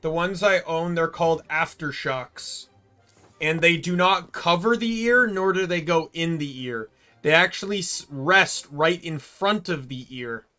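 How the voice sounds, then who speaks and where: A man with a bright, slightly high voice talks loosely while he plays a video game in his room. He rambles and trips over his own sentences, and quiet game music plays underneath. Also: a little slow. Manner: rambling, self-correcting. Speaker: streamer. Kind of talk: casual gameplay chat. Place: home room.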